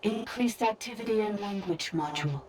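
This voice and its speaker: A woman's voice: mid-range voice, moderate pace, natural voice. A woman voicing an emotionless female robot in a video game, giving clipped, factual reports. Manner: clipped, flat report-like statements. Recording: distant voice, clearly noisy, clicking signal.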